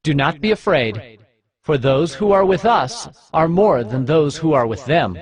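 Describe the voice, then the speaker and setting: A man's voice: fairly high pitch, lively intonation, unhurried. A man playing a commanding, fervent prophet with solemn, declamatory delivery in a dramatized Bible reading. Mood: assured. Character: commanding, fervent holy prophet of ancient Israel. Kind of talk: dramatized Bible audio acting.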